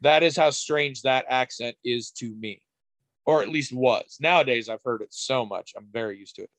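A man's voice: medium pitch, normal speed, a bit bright, no room sound. Middle-aged male co-host, candid and rambling, casual swearing, dry close recording. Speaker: podcast co-host. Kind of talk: casual chat.

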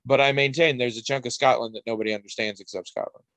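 A man's voice: medium pitch, steady tone, fast talker, a bit bright, no echo, rough recording. A man co-hosting a podcast, chatting casually in a rambling, candid way and swearing now and then. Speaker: podcast co-host. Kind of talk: casual chat.

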